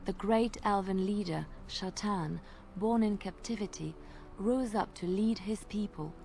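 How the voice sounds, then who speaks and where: Gentle game bard, soft, measured, earnest storyteller, mid pitch, faint ambience. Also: female voice, gravelly, slight background noise. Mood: storytelling. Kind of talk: storytelling in video game dialogue.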